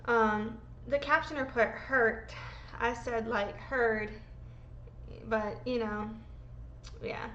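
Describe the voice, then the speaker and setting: A woman's voice: on the high side, steady tone, slow, long pauses, fairly bright tone, reverberant, highs cut off, slight background noise. A woman in her thirties with a sore throat, chatting in a rambling, emotional, profane vlog from her home. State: sick, sore throat, voice lower than usual. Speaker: vlogger. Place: home room being packed up.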